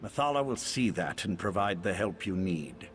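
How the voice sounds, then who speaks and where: Low-pitched, grieving elf father played by a man. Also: animated, moderate pace, background noise, lightly acted. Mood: solemn conviction.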